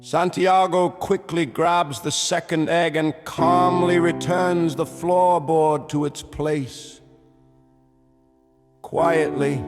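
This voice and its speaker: Slow, high-voiced male narrator. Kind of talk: scripted narration of a screenplay. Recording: long reverb, loud music underneath.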